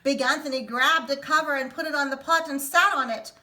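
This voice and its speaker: A woman teacher reading a picture book aloud to children in an expressive storytelling manner. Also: high-pitched, brisk. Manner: expressive, sing-song storytelling.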